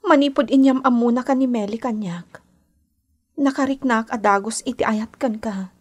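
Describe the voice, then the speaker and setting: A woman's voice: fairly high pitch, moderate pace, natural voice. A woman playing a scheming, lovelorn young woman in an Ilocano radio drama, pleading and insistent, with an Ilocano accent. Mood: coaxing, lovelorn. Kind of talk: Philippine radio drama acting.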